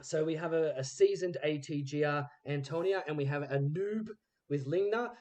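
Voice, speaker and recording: A man's voice: a little high, moderate pace, darkish tone. A man hosting a podcast, interviewing guests in an informal, conversational way. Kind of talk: casual interview chat.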